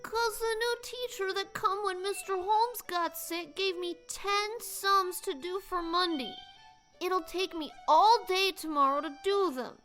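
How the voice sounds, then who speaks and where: A woman's voice: very high-pitched, measured pace, fairly bright tone, faint music behind, voice pushed up. A woman voicing a gloomy ten-year-old boy who whines and drags out his complaints. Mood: sulky.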